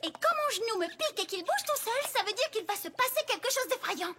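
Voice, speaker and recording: A woman's voice: very high-pitched, big pitch swings, brisk, bright, forceful tone, a little hiss or hum, full character voice. An actress voicing a hyper, bubbly young female pony in a French cartoon dub, talking in a bouncy sing-song way. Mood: explaining.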